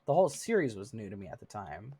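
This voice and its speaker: Casual gaming streamer, 30s, chatty, giggly, filler-heavy, mid pitch. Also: big pitch swings, quick pace, mellow, breathy edge. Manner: chatty, laughs often, many fillers. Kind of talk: casual live-stream chat with viewers.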